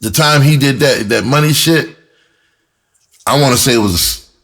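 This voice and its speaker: A man telling a personal story in a casual, animated way, with slangy, profane talk and phrases repeated for emphasis. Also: mid-range voice, moderate pace. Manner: breaks into laughter often while talking. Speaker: screenwriter and performer telling his own story.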